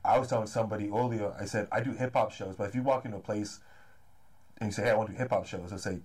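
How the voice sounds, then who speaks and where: Fast-talking man in his thirties, low voice. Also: slightly rough. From Los Angeles area, California. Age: 30s. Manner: fast, energetic, repeats "man" often. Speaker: comedy and hip-hop promoter, guest. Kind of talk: casual interview chat.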